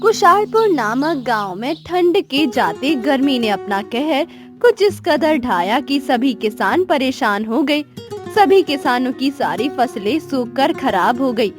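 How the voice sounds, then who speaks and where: A woman narrates a scripted moral story in a high voice. Faint music sits behind her as she tells the tale. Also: very animated, unhurried.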